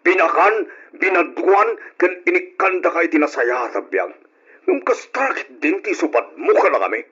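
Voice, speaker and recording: A stern father in an old radio drama. His mid-pitched voice is husky, with an emphatic, reproachful delivery. The recording is dull. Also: very animated, normal speed, a bit bright, full character voice. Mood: angry accusing. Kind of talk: old-style Ilocano radio drama acting.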